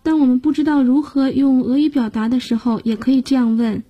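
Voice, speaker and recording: A woman's voice: high voice, fairly even intonation, fairly quick, highs cut off. A woman hosting a radio language lesson, patiently explaining grammar and guiding listeners step by step. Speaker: language teacher and host.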